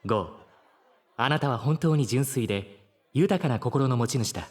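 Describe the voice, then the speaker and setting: A man playing a polite, calm mechanical guide in a Japanese superhero audio drama, speaking formally and precisely. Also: medium pitch, moderate pace, a faint crowd, natural voice. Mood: admiring.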